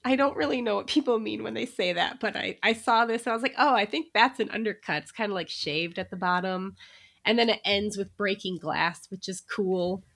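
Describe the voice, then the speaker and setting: A woman co-hosting a casual podcast, at an average pitch for a woman. She talks in an easygoing, chatty way and laughs often between remarks. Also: fairly quick, vocal fry, fairly bright tone. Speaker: podcast co-host. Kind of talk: casual chat.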